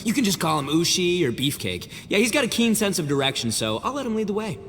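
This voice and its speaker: An actor playing a brash, boastful young Oni gang boss in a video game cutscene, blustering and theatrical. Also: male voice, sits high, sing-song, fast, fairly bright tone, slight background noise, exaggerated voice. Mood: cocky, showy. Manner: theatrical, blustering, swings from cocky to flustered. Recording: long reverb, music behind.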